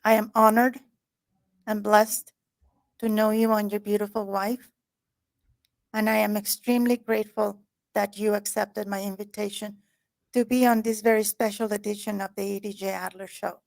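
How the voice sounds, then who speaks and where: A woman's voice: medium pitch, flat delivery, moderate pace, vocal fry, long pauses. A woman hosting a talk show who introduces her guest in an effusive, heartfelt way. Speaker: host.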